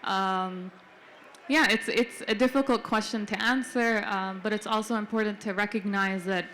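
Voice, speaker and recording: A young adult woman, born in the Philippines and raised in several countries, answering thoughtfully on a panel with many "um" pauses. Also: medium pitch, fairly even intonation, a bit bright, slight background noise. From Philippines-born, raised in Jordan, Kenya, India. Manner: reflective, frequent um fillers. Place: book fair panel stage.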